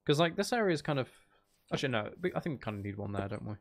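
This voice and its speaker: Creaky-voiced man casually streaming a game. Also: medium pitch, big pitch swings, very fast. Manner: thinks out loud, sweary, banters at game characters. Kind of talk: casual gameplay commentary with chat.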